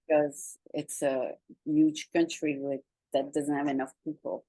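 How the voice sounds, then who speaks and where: Low-voiced chatty woman hosting an interview. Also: little pitch movement, unhurried, mellow, no room sound, rough recording. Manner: chatty, rambling, broken grammar. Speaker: interview show host. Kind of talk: casual interview.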